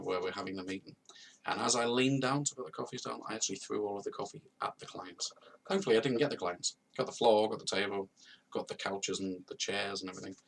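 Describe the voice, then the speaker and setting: A man's voice: fairly low pitch, vocal fry, fairly bright tone, distant mic, a little hiss or hum, poor audio. A man in his thirties telling his own story to camera in an earnest, confessional way, repeating phrases for emphasis. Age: 30s. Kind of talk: personal testimony to camera. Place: at home, on a video call setup.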